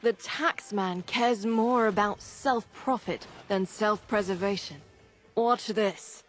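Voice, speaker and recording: A woman's voice: medium pitch, slow, lightly acted. A woman playing a tough, dry, commanding resistance leader in a video game. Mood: sharp, determined. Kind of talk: video game mission briefing.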